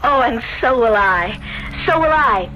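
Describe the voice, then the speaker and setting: A woman's voice: a little high, expressive, measured pace, lo-fi sound. An actress playing a meek, self-deprecating young woman, earnest and melodramatic, in a 1970s radio drama. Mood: shy, flattered. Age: young adult. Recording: vintage radio broadcast, music behind.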